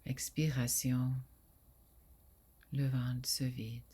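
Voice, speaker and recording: A woman guiding a sleep meditation in a soft, soothing voice that often drops to a breathy near whisper, with long pauses. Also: very deep voice, little pitch movement. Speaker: yoga nidra guide.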